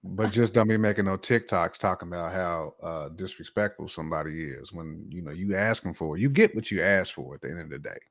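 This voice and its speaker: A man's voice: low-pitched, brisk, hardly any pauses. An American man co-hosting a casual, opinionated chat, speaking bluntly in slang and repeating phrases for emphasis. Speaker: podcast co-host.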